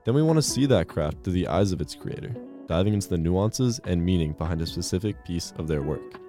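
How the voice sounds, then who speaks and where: A man's voice: sits low, expressive, brisk, mellow, soft background music. A young man hosting a podcast interview, asking long, easygoing questions with frequent fillers. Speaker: podcast host.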